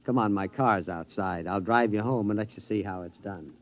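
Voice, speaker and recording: An actor playing a henpecked middle-aged American husband in a 1950s radio drama, furtive and gleeful, animated and conspiratorial, repeating his words. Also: fairly low pitch, moderate pace, lightly acted. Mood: confiding. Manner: animated, conspiratorial, stammering repetitions. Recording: dull old radio recording, faint noise.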